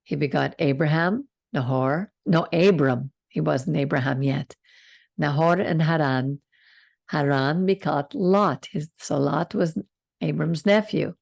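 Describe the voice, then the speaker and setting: An older woman hosting a Torah study over a video call, reading the passage slowly and calmly and stopping to comment. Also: low-pitched, creaky, no room sound, clean studio sound. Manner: slow, calm reading with side comments. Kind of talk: reading scripture and teaching.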